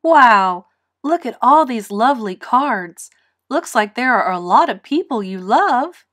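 A woman voicing a loving, patient mother pig, nurturing and expressive, in a storybook read-aloud. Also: on the high side, measured pace. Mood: caring.

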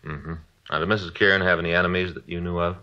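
An American man playing a laconic, low-key police detective partner in a 1950s radio drama. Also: steady tone, fast talker, bright, forceful tone. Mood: polite questioning. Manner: laconic, casual questioning. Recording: dull vintage radio recording.

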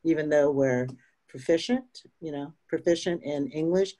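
A woman's voice: deep voice, moderate pace, dark tone. An older woman, a union representative, speaking emphatically on a video panel and tagging points with "right?". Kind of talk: panel discussion. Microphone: video call.

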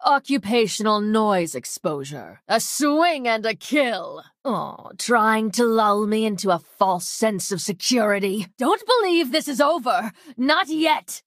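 Evil lady scientist game boss, theatrical n arrogant, really slow precise delivery, super dry close sound. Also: on the high side, no echo. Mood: mocking, menacing. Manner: clinical, haughty, mocking, precise diction. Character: coldly arrogant female mad-scientist villain in a mech suit. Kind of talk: theatrical video game voice lines.

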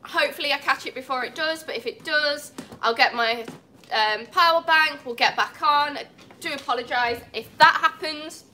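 A woman's voice: high voice, moderate pace, bright, forceful tone, slight background noise. A young English woman with a cold, chatting on a livestream from a cat cafe, apologetic and laughing often. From England. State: has a cold. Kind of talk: casual livestream chat. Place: indoor cat cafe with large windows. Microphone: phone on a tripod.

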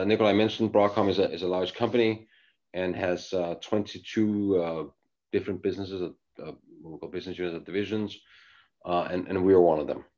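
A man's voice: deep voice, darkish tone. A middle-aged man from Denmark giving a casual webcast presentation from his living room at home, talking with many fillers and restarts. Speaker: engineering executive presenter. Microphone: laptop webcam mic, video call. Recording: dull lo-fi video call audio.

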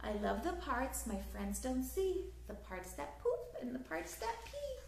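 A woman in her thirties reading a picture book aloud to young children in an expressive, sing-song way, recorded in a living room. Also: high-pitched, unhurried, creaky, reverberant, a little hiss or hum. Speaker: children's story time host. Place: small living room, indoors on a couch.

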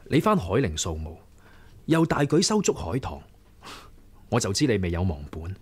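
A man's voice: fairly low pitch, big pitch swings, moderate pace, husky. A man acting a young, righteous martial rebel leader in a Cantonese radio drama, earnest and persuasive, with formal declamatory speech. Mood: earnest, pressing.

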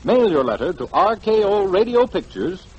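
1940s American male announcer, higher-pitched, very lively delivery with a rough edge. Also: normal speed, lo-fi sound. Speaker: radio announcer. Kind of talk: 1940s radio promotional announcing.

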